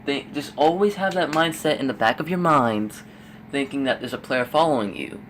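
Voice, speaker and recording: A young man with a middling voice that has gone a little hoarse talks through gameplay tips in a loose, rambling way, full of fillers and the occasional curse. A faint hum sits behind him. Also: mid-range voice, animated, normal speed, a bit bright. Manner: rambling, with frequent fillers and swearing. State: losing his voice, a bit hoarse. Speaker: gaming YouTuber giving tips. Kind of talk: casual gameplay commentary voice-over.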